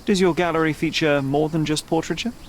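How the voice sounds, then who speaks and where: An actor playing a cool, self-assured young detective in a video game, speaking in a clipped, formal, composed way. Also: male voice, fairly high pitch, steady tone, fairly quick, slight background noise, natural voice. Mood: polite, guarded. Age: young adult. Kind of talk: video game cutscene acting.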